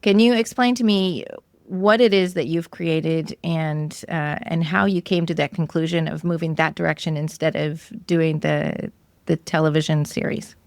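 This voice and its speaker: A woman's voice: low voice, steady tone, normal speed, no room sound. A woman hosting a podcast interview in an informal, encouraging way, thinking aloud as she talks. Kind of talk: conversational interview.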